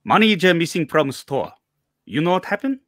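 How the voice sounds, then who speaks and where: A man's voice: fairly high pitch, big pitch swings, a little fast, fairly bright tone, no room sound, clean studio sound. An older man playing a stubborn Korean immigrant father who runs a shop, speaking blunt, broken English with a Korean accent over a headset on a video call. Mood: gruff. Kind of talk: live table read. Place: home room.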